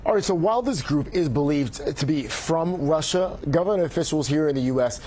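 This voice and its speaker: A male news correspondent delivering a measured, informative report. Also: fairly high pitch, lively intonation, mellow, slight background noise.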